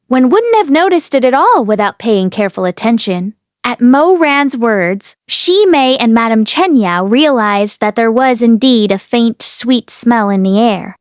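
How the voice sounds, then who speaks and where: High-voiced woman reading an audiobook. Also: animated, a little slow, no room sound, clean studio sound. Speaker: audiobook reader. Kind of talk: expressive scripted story narration.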